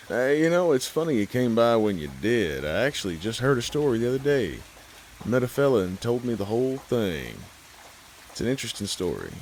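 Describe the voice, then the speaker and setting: A man with a low, deep voice tells a scary story in a folksy, unhurried way. He talks right to the listener like a host by the fire. There is a faint hiss behind him. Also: a little mellow. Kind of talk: scripted horror story narration.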